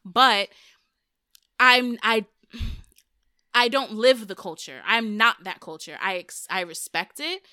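A woman's voice: on the high side, slow, pauses often, pushed and bright, smooth, dry recording, studio quality. A young adult woman hosting a podcast, talking bluntly and emphatically in casual chat, swearing freely. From Dominican and Puerto Rican family, raised in the US. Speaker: podcast host.

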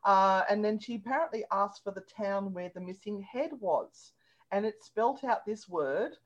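A woman's voice: medium pitch, normal speed, lo-fi sound. A woman, a guest telling stories in a playful, chatty way and laughing often. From Australia. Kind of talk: casual chat.

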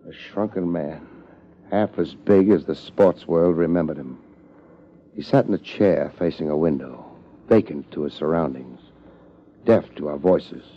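An actor playing a tough, unflappable American private investigator with a terse, hard-boiled manner in a 1950s radio drama, on a muffled, noisy old recording. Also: male voice, low voice. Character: tough, unflappable male private investigator narrating his case.

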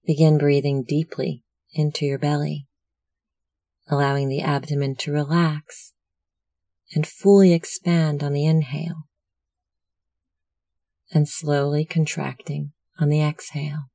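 Meditation guide, unhurried, gravelly fry, measured and soothing, close dry sound. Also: medium pitch, flat delivery, mellow, no echo. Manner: measured, soothing instructional delivery.

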